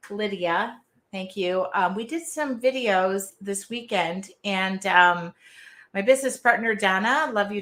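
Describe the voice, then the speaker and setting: A woman with a nasal Midwestern accent hosting an art journaling live stream from her art studio, talking in a loud, chatty, self-deprecating way. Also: medium pitch. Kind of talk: casual live-stream chat.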